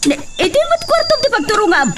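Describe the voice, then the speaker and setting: Fretful mother played by a woman, high and animated, pleading, Ilocano accent, radio drama. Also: fast. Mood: anxious, pleading. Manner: pleading, exclaiming. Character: anxious, fretful mother of a grown daughter. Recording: distant mic, faint background noise.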